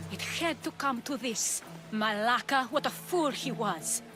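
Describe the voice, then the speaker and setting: A hardened woman in a video game drama. Her voice is on the high side, resolute with a weary bitterness. Music runs behind it. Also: lively intonation, slow pace. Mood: bitter, resigned.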